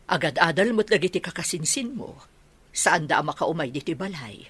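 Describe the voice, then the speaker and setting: Radio drama: concerned mum, husky, expressive pitch movement. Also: female voice, moderate pace, dry recording, full character voice. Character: concerned mother of a grown soldier son.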